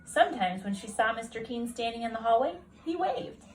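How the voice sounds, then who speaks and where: High, animated woman reading a storybook. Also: brisk, soft background music. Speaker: teacher reading aloud to children. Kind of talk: expressive storybook narration. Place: at a kitchen table at home.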